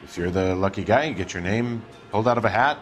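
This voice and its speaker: A male actor plays a guarded businessman in a detective game. His voice is low, with a weary, matter-of-fact delivery. Music runs beneath his lines. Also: fast talker, natural voice. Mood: guarded, dismissive. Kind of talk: video game cutscene acting. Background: background music.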